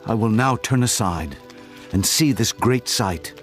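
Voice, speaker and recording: A man's voice: fairly low pitch, animated, a little slow, faint music behind. A man playing an earnest, humble Hebrew in dramatized scripture audio.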